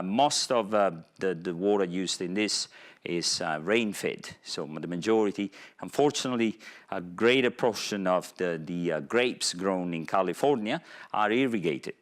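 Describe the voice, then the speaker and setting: Man, Italian accent, somewhat low pitch, lecturing professor, halting, lots of pauses. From Italy (Naples). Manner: halting, searches for words with many pauses. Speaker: university professor and researcher. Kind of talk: public lecture with slides.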